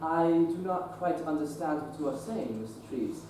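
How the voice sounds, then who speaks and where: A young man playing a pious Victorian bishop in a stage play, earnest and gentle. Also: a little high, expressive, normal speed, background noise, natural voice. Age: young adult. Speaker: stage actor. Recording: echoey theater from far off.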